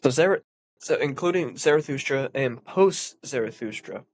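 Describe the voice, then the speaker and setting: A man's voice: mid-range voice, lively intonation, normal speed, no echo. Congested man explaining philosophy. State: congested, sniffly. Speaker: philosophy commentator. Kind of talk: reading and analysis of a philosophy text.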